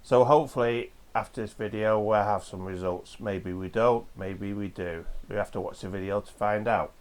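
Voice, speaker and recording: A hoarse man with a cold, hosting a casual repair walkthrough in a home workshop, explaining each step in a chatty, rambling way. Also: lowish voice, moderate pace. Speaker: host of a retro computer repair channel.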